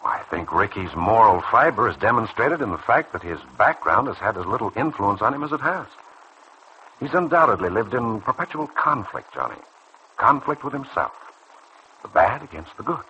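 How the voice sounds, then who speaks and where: A man acting in a vintage radio soap as a calm, earnest doctor who probes and persuades in a measured way. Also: lowish voice. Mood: persuasive, reassuring. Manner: measured, probing, earnest delivery. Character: calm, earnest, persuasive male doctor counselling a younger colleague. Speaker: radio serial actor. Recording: noisy old radio drama recording.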